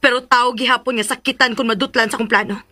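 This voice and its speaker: A woman's voice: a little high, fairly even intonation, fast, sharp tone, no room sound, faint music behind. A woman playing a hurt, resolute young woman in a radio drama, speaking firmly. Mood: bitter, resolute.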